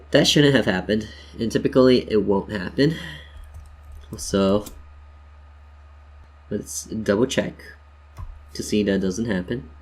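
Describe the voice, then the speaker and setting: A man's voice: on the low side, darkish tone, breathy edge. A man hosting a tutorial in a casual, playful way, talking viewers through each step as if chatting with them. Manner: playful, jokey, addresses viewers as "you guys". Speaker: tutorial host. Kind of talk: casual instructional screen-share narration.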